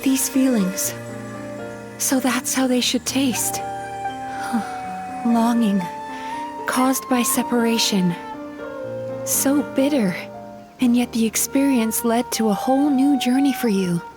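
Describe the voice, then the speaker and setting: An actress playing a calm young woman psychologist heroine in a game, speaking in a gentle, measured, reflective way. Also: a little high, over music. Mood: tender.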